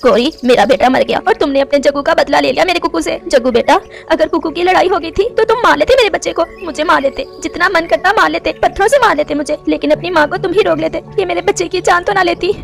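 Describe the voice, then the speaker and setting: A grieving mother sparrow, played by a woman, speaks in a high, plaintive voice. She laments her lost child in quick, melodramatic cartoon style, with music underneath. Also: vocal fry. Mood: heartbroken. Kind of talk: melodramatic Hindi cartoon story acting.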